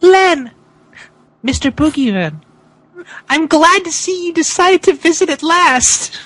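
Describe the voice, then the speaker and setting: Cold tragic villainess for a comic audio drama, very high and slow, close dry sound. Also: animated, a bit bright, no echo, full character voice. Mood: icy, poised. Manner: slow, theatrical, drawn-out delivery. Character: cold, melodramatic, sorrowful woman villain of wrestling fanfiction.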